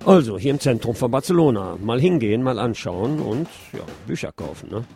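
A middle-aged man hosting a radio show, reading news commentary in a measured, opinionated way. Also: medium pitch, expressive, gravelly, over loud music. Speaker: radio host.

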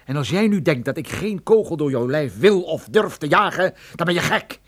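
Sardonic tough detective, lively pitch. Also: male voice, moderate pace. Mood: commanding, threatening. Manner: menacing, dryly sarcastic. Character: tough, sardonic male private detective holding a revolver. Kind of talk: radio drama acting with first-person narration.

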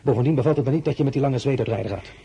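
An actor playing a commanding, weary emperor in a radio drama, halting and imperious, with a nostalgic streak. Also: male voice, mid-range voice, gravelly, dark tone, breathy edge. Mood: imperious, stern. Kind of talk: dramatic radio-play acting.

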